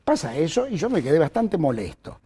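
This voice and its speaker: An older Argentine man reminisces as an interview guest, his voice gravelly, mellow and set a little high. His pitch rises and falls with lively energy, and he breaks up his stories with fillers and restarts. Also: fairly quick. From Córdoba, Argentina. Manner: animated storyteller with frequent fillers and restarts. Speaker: journalist guest. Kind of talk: anecdotal storytelling in conversation.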